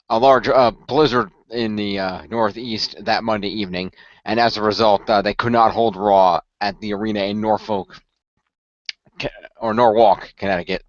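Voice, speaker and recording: A man's voice: fairly low pitch, no echo. Rambling guy recapping stuff on a podcast, dry muffled sound. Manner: rambling storyteller with many restarts. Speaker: podcast co-host. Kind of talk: casual recap.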